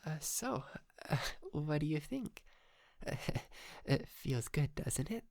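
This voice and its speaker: A man playing a shy, polite, lovestruck yandere kidnapper in an intimate ASMR roleplay, stammering, hesitant and softly doting. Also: fairly high pitch, big pitch swings, slow, vocal fry, no room sound, full character voice.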